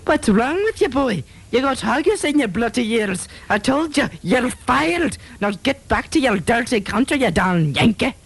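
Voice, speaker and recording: A gruff Scottish police chief for a comic radio play. He is bullying and scornful, with a melody that swings widely up and down. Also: very high voice, very animated, normal speed, few pauses, fairly bright tone, exaggerated voice. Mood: scolding. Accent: Scottish, put on. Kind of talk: amateur comedy radio drama.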